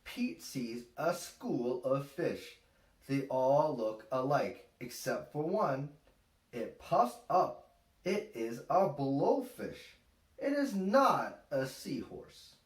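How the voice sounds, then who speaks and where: A man in his thirties reading a picture book aloud to young children in a deliberate, expressive way. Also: medium pitch. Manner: deliberate, expressive, simple phrasing. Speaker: storytime host, father reading aloud. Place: small room at home, indoors. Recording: roomy, distant home-camera sound.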